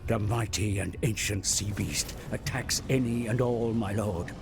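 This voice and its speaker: Grave, gravelly game herald. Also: male voice, lowish voice, unhurried. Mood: grave, ominous. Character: grave herald warning his lord of a sea monster. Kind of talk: dramatic video game narration. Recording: game music over the voice.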